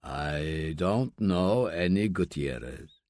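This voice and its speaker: A man doing a slow, secretive old father. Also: sits low, animated, a little mellow, no room sound, voice pushed down. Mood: evasive. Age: older. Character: aging reclusive father, secretive and volatile.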